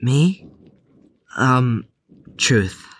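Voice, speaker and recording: A man playing a quiet, glum young patient in an audio drama, husky, hesitant and terse. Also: animated, extremely slow, heavily acted. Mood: awkward, reluctant. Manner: hesitant, terse, low-key.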